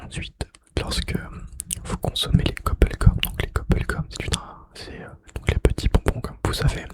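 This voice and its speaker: A man speaking in a breathy, unvoiced whisper close to the mic, sharing gaming trivia ASMR-style and softly repeating key phrases. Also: normal speed. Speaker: ASMR video creator. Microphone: close microphone.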